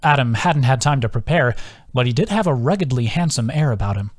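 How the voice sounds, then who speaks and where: A man's voice: medium pitch, animated, brisk, dry recording. Man narrating a fantasy audiobook, dry sound. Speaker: author reading own audiobook. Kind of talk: scripted fantasy story narration.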